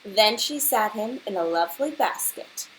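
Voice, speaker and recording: A woman teacher reading a picture book aloud to children and pausing to prompt them with questions, with hiss on the recording. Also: medium pitch, lively intonation, rough recording. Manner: instructional, pausing to prompt young listeners.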